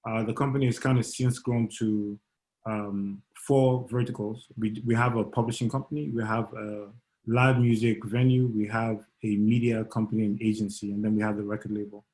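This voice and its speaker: A man's voice: on the low side, little pitch movement, moderate pace, darkish tone, poor audio. A man, a lawyer and entrepreneur, speaking casually as a panel guest over a video call, joking and stumbling over his first words.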